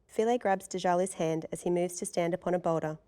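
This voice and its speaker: A woman's voice: lowish voice, brisk, warm tone. A woman reading scripted stage directions as the narrator of a table read.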